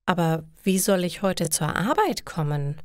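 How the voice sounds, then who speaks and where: A woman's voice: fairly low pitch, animated, a little slow, no echo, clean studio sound. A woman voicing an anxious female care worker in a story, worrying and deliberating. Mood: worried. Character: Tina, anxious care-home worker facing snowy roads.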